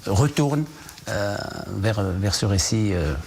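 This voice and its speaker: A man from Morocco talks as a guest on a television news program, his voice sitting low. He speaks hesitantly, full of fillers and restarts, with a faint studio hum in the background. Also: animated, slow pace, creaky, a little mellow. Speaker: novelist guest. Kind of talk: TV news interview.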